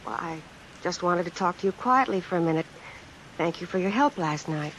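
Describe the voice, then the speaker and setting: A woman's voice: fairly low pitch, expressive, brisk, fairly bright tone, low-quality recording. Earnest young American woman on rainy old radio. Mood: guarded, insistent. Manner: hesitant, polite. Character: earnest, guarded young secretary, politely uneasy. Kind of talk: old-time radio suspense acting. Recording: vintage radio recording, hiss and heavy rain. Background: rain sounds added over the broadcast.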